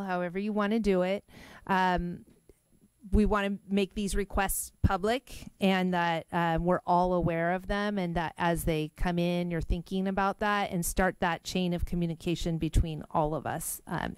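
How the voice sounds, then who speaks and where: A woman runs a public meeting with an upbeat, organized manner. Her voice is smooth and clear, close and dry on a table microphone, with little room sound. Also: medium pitch, no room sound. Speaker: meeting facilitator.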